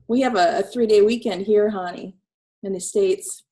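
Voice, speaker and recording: A woman in her forties with a cold, hosting a casual video call chat, chatty and quick to laugh. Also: medium pitch, warm tone. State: snuffly from a cold. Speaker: host.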